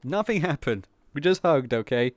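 A man's voice: a little high, expressive, dry recording, studio quality. A man streaming in a virtual world, chatting casually and laughing often. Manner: giggly, teasing, quick to laugh. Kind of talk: casual livestream banter.

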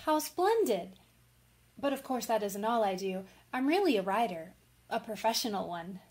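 Casting: actress as earnest, warm-hearted young female writer, slightly high voice, period radio drama. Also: very animated, normal speed. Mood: bright, eager. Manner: eager, earnest. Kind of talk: old-fashioned radio drama acting.

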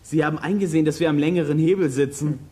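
A performer playing a bold, practical boy detective with a brisk, decisive manner in a children's audio drama. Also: mid-range voice, dark tone, slight background noise, lightly acted. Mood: composed.

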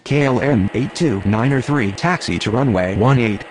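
An actor playing a businesslike air traffic radio speaker in a game, formal and procedural, in clipped aviation phraseology. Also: male voice, mid-range voice, lively intonation, normal speed, lightly acted. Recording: faint vehicle and ambient noise.

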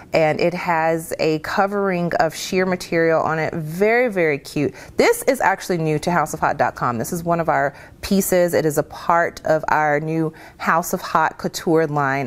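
A woman in her thirties with a low, full voice chats about her outfit in a casual fashion vlog. She talks to her viewers in an animated, friendly way and calls them y'all. She is filmed facing the camera in her home kitchen. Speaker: fashion vlogger.

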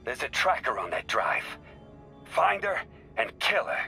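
A man playing a ruthless mob boss in a video game, commanding and menacing, with a gravelly voice. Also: fairly high pitch, huge pitch swings, unhurried, bright, forceful tone, soft background music, heavily acted. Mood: cold fury. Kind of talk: cinematic game voice acting.

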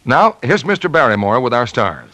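A man's voice: mid-range voice, big pitch swings, normal speed, pushed and bright, no echo. A man with an American accent announcing and reading scripted sponsor messages in 1940s radio style. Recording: 1940s radio broadcast recording.

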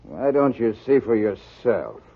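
A suspicious, laconic man of slang talks slowly and gruffly. His rough-edged voice is boxed in by a scratchy, narrow 1940s radio recording. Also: on the low side, sing-song, full character voice. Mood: grudging, laconic. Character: gruff, suspicious, slangy male flat tenant. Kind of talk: 1940s radio drama acting.

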